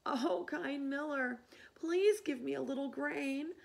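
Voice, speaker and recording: A woman's voice: high-pitched, takes it slowly, creaky, voice pushed up, heavily acted. A woman voicing a pleading, wheedling little male fox from a storybook in an imploring sing-song. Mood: desperate pleading.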